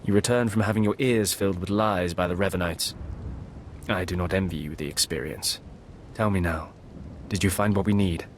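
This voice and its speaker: A man acting a low-voiced, scheming Sith. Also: normal speed, slight background noise, lightly acted. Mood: suspicious. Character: scheming, guarded male Sith, haughty and suspicious. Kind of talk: video game voice acting.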